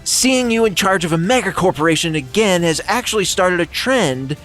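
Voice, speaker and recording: A man's voice: high-pitched, expressive, normal speed, fairly bright tone, faint music behind. A man voicing a chirpy female TV news interviewer in a comic script reading, with a sugary, gushing manner.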